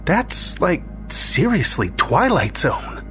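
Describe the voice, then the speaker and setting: A man's voice: fairly high pitch, wildly swooping pitch, slow, a bit bright, soft background music, pitched above the performer's own voice, heavily acted. A man voicing a skeptical, uneasy young wife in an audio story, with slangy, hesitant, questioning speech. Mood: amazed, doubtful.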